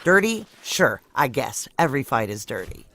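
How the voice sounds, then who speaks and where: A woman's voice: extremely low pitch, sing-song, moderate pace, fairly bright tone, heavily acted. A woman playing a tough, sardonic security officer in her thirties, American, narrating an audio drama in a dry, blunt way. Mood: cool, instructive. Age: 30s. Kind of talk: first-person noir audio drama narration.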